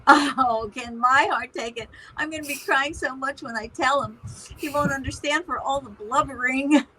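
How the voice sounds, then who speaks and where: A woman's voice: high-pitched, normal speed, bright, forceful tone, slight background noise, low-quality recording. A middle-aged woman playing an emotional, wryly opinionated mother of a grown son in a naturalistic one-act play. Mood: giddy. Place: virtual play performed over video call.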